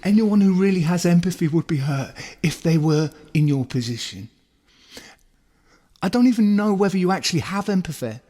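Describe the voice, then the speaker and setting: A man's voice: sits high, expressive, normal speed, mellow. A man playing a hurt, blunt boyfriend accusingly ending a relationship in an audio drama. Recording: long reverberant hall sound.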